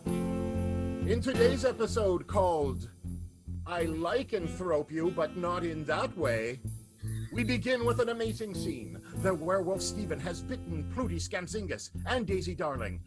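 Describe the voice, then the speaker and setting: High-pitched male radio narrator over music. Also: animated, unhurried. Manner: theatrical announcer delivery, wry. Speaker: narrator and host. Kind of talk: comic radio show narration.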